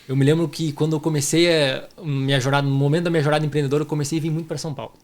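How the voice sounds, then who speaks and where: A man's voice: fairly high pitch, level tone, rapid-fire. A man with a southern Brazilian gaúcho accent, hosting a podcast in an effusive, informal way and speaking affectionately to listeners. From southern Brazil (Rio Grande do Sul). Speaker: podcast host, entrepreneur.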